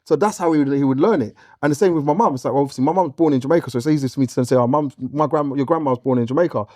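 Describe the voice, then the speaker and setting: A man's voice: mid-range voice, racing, mellow, no room sound, studio quality. A man from England, talking earnestly on a podcast panel, often restarting his sentences. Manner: earnest, stumbles and restarts when explaining. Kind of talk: casual panel discussion.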